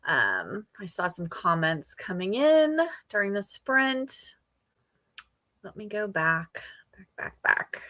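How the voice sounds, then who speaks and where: A woman's voice: medium pitch, sing-song, slow, sharp tone. A woman hosting a readalong livestream, chatting casually and gushing with enthusiasm as she responds to viewers. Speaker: livestream host of a book readalong. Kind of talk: casual livestream chat, reading and answering viewer comments.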